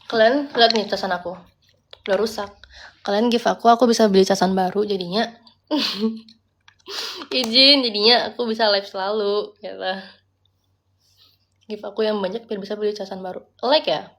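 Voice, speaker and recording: A woman's voice: medium pitch, fairly even intonation, moderate pace, long pauses, a bit bright. A young woman from Bali chatting casually on a live stream, reading viewer comments aloud and answering, mixing in English words. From Bali, Indonesia. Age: young adult. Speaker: livestreamer. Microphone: phone.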